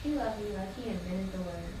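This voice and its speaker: A woman's voice: mid-range voice, brisk, natural voice. An actress playing a protective, grieving young mother in a stage play, speaking frankly and colloquially. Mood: sorrowful. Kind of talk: theatrical stage acting, colloquial dialogue. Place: indoor stage, live play. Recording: very noisy, echoey hall from far off.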